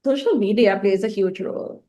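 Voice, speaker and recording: Excitable woman co-hosting a podcast. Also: medium pitch, moderate pace, highs cut off. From Sri Lanka. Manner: excitable, eager, sentences running ahead of her. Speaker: podcast co-host, writer and speaker. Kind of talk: casual podcast chat.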